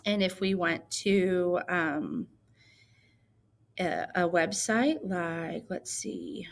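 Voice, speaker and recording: A woman teacher from Missouri walking viewers through a software tutorial in a chatty, explaining way with frequent fillers. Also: lowish voice, steady tone, extremely slow. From Missouri, United States. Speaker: ELL coordinator and teacher. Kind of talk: screen-recorded tutorial.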